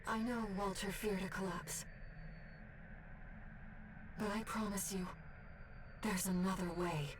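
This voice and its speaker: An actress playing a gentle, earnest young female companion in a mecha video game, soft and composed. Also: medium pitch, steady tone, slow, long pauses, background noise, natural voice. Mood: tender, hopeful. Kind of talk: dramatic video game voice acting. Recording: slightly distant game audio under music. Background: prominent music.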